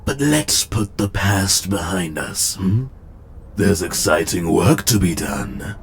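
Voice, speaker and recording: An actor playing a scarred, half-machine mad scientist killer in a comic dub, speaking with smug, theatrical menace. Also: male voice, sits low, lively intonation, slow, a little hiss or hum. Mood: smug, boastful. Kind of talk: scripted comic dub villain monologue.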